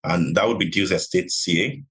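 A young man from Nigeria, a podcast guest and real estate investor, answering in a measured conversational way with many "you know" fillers. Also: deep voice, husky.

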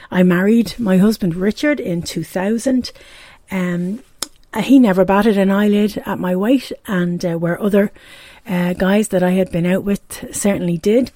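A woman's voice: medium pitch, mellow, no echo, studio quality. A woman in her forties with a Dublin Irish accent, hosting her own podcast as a candid, reflective monologue with frequent ums and restarts. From Ireland. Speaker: podcast host.

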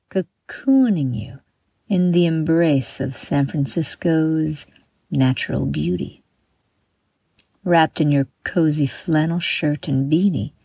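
A woman guiding a meditation from a script in a soothing, measured manner, with breathy exhaled phrases. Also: deep voice, mellow, no room sound. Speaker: meditation guide and host. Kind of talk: guided meditation, scripted narration.

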